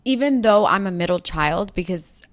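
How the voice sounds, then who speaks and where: A woman's voice: fairly low pitch, expressive, normal speed. A young woman, a Spanish speaker, co-hosting a casual chat in an earnest, self-deprecating way, often tripping over her words. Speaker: podcast co-host.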